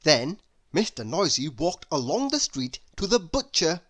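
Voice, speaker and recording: A storybook narrator reading a children's tale aloud in an animated, expressive way. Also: medium pitch, unhurried. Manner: animated, expressive, with emphatic sound words. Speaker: storybook reader.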